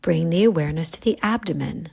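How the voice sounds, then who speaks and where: A woman guiding a yoga nidra relaxation, giving calm, gentle, unhurried instructions with pauses. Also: deep voice, creaky, breathy edge. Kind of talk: guided relaxation meditation.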